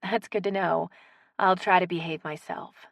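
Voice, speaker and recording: A woman's voice: on the low side, fairly even intonation, normal speed, vocal fry, no echo, studio quality. A woman narrator voicing a polite, good-natured woman in an audiobook story. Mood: friendly.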